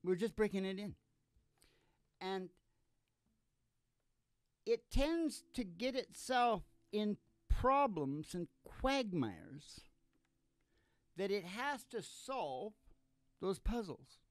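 A man's voice: sits high, lively intonation, very slow, long pauses, dry recording. An older man hosting a music podcast, bantering in a rambling, folksy, jokey way on a stage microphone. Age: older, under eighty. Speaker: podcast host and country musician. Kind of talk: rambling comic philosophical banter. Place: small curtained studio stage. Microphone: stand-mounted vocal microphone.

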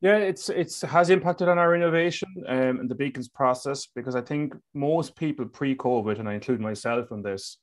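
A man's voice: medium pitch, normal speed, few pauses. A middle-aged man with an Irish accent, speaking emphatically as a webinar panelist over a headset. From Ireland. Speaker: education leader, webinar panelist. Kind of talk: explanatory panel talk. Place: indoors on a video call.

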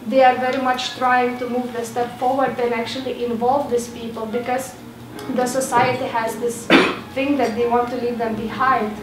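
A young woman teacher explains steadily to an audience, with a slightly high voice. She sounds distant in an echoing hall, with music playing beneath her. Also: normal speed, background noise. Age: young adult. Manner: plain, steady explaining with fillers. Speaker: student teacher presenting. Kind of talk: informal panel talk. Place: dim hall with seated audience. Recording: roomy far-off hall recording with music.

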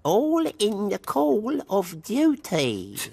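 Creaky old gentleman. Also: medium pitch, huge pitch swings, drawn-out words, no room sound, heavily acted. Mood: humble, polite. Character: frail, humble old British gentleman, putting it on. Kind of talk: animated cartoon voice acting.

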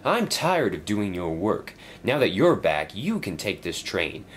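A man's voice: on the low side, sing-song, unhurried, a bit bright, slight background noise. A man voicing a rude, scheming male diesel engine with an oily voice. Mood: sneering.

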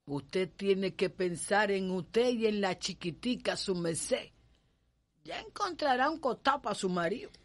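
An actress with a Cuban accent playing a bossy, affectionate, folksy nursemaid in a radio soap opera. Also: female voice, mid-range voice, animated, a little slow. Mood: frank, caring. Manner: coaxing, folksy, scolding.

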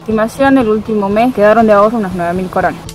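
A young woman talks plainly to camera in a garden. Her pitch is ordinary, and faint music sits underneath. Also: quick pace, poor audio. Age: 20s. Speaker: working holiday traveler. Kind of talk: casual testimonial to camera. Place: outdoors in a garden.

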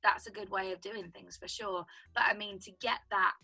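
A young British woman with a husky voice, a songwriter guest chatting casually over a video call from home. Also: mid-range voice, fairly even intonation, moderate pace, pushed and bright, soft background music, poor audio. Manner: chatty, explains with lots of "like" and "do you know what I mean". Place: home room indoors. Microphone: video call webcam.

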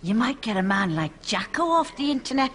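A woman's voice: on the high side, big pitch swings, brisk. A woman playing a naive, guileless Irish neighbour in a sitcom, innocent and matter-of-fact. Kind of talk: live sitcom acting. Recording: very noisy TV studio sound.

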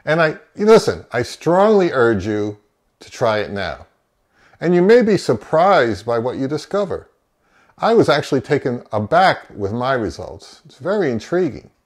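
Middle-aged American man, animated intonation, mid-range pitch, emphatic, opinionated, reviewer delivery. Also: normal speed. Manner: emphatic, opinionated, rhetorical questions answered himself. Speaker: audio reviewer and host. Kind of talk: semi-scripted product review to camera. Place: small indoor home office.

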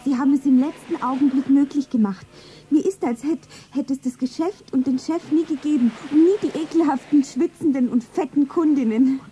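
A woman's voice: sits high, brisk, mellow, slight background noise, close to an everyday voice. An actress playing a naive, earnest young shop girl in love in a radio play. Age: young adult. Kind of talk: stylised radio play acting, narrating her own scene. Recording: 1970s radio play, music behind.

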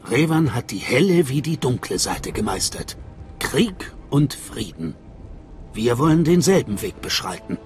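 Give a solemn male cult guide from a fantasy video game. He speaks with measured reverence and mystical gravity, his pitch rising and falling expressively. Faint music sits behind him. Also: very animated, darkish tone. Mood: mystical, instructive. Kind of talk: dramatic video game voice acting in German.

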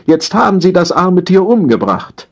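A man's voice: sits high, big pitch swings, normal speed, creaky, voice pushed up, exaggerated voice. A man voicing an indignant dog-food expert in a comic audiobook, outraged and accusing.

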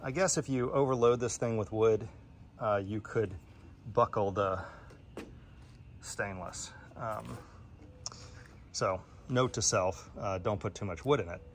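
A man's voice: lowish voice, a little slow, long pauses, a little hiss or hum. A man giving a casual, plain-spoken product review, pointing out defects while filming with a handheld phone on an outdoor deck. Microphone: phone camera in hand.

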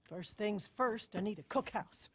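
A woman's voice: medium pitch, measured pace, slightly rough. A woman playing a weathered older American frontier moonshiner in a video game, blunt, dry and defiant. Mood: determined. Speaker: game character actor. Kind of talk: video game cutscene acting.

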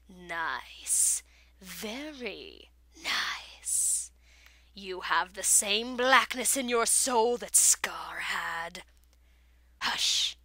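A woman voicing a scheming, embittered cartoon lioness with a breathy, menacing delivery. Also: medium pitch, pushed and bright, dry recording, voice pushed down, exaggerated voice. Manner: slow, menacing, theatrical.

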